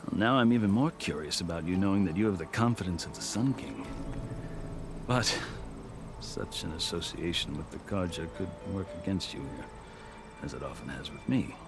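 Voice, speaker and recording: A man playing a proud, measured former army commander turned envoy in a video game, speaking in formal, deliberate phrases. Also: sits low. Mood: measured, wary. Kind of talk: video game dramatic acting. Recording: background music under the voice.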